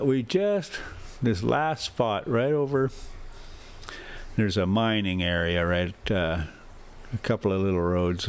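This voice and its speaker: A man hosting a travel vlog from inside a motorhome, rambling casually and reading a story aloud in a halting, folksy way. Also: lowish voice. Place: inside a motorhome while driving.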